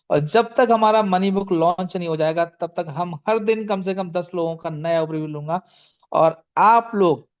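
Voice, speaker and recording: A man's voice: on the high side, a little mellow, smooth. A man hosting an online sales meeting, rousing and exhorting his team, mixing English phrases into his talk, on dull lo-fi call audio.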